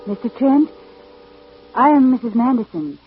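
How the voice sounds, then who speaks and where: Well-bred widow, old radio drama. Highish, breathy, restrained and guarded; very narrow, tinny sound. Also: female voice, sing-song, moderate pace, faint music behind, exaggerated voice. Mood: composed, sorrowful. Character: reserved, genteel newly widowed lady. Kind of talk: 1940s-50s radio acting.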